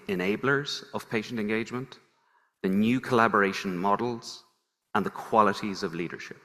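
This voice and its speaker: A man's voice: lowish voice, pushed and bright. A man moderating a conference panel, polished but with frequent restarts as he frames the discussion. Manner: polished, with restarts as he sets the agenda. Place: large conference hall. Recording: long hall reverb.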